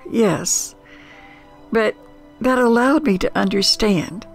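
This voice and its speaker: An older woman with an American accent hosts nostalgic storytelling. Her voice is heavily creaky and her delivery slow and folksy, with emphatic pauses. Soft music plays behind her. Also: mid-range voice. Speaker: storyteller and host.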